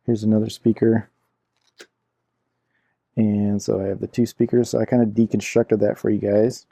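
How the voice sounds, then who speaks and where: A man's voice: fairly low pitch, mellow, no echo. A man giving a casual hands-on product review in an informal, explanatory way, repeating himself as he goes. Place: indoor room at a cluttered computer desk.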